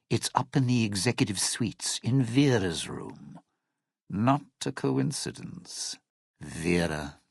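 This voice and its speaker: An actor playing a bitter, scheming lounge singer and thief in a video game, with a husky, gravelly voice and a sardonic, theatrical delivery. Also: male voice, deep voice, no room sound, studio quality, full character voice. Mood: scheming, matter-of-fact. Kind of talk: video game character dialogue.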